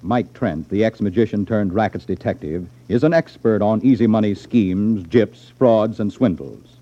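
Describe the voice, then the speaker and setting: A man's voice: fairly low pitch, moderate pace, warm tone, lo-fi sound. A man announcing a radio programme in a formal 1950s broadcast style, with dramatic emphasis. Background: faint music.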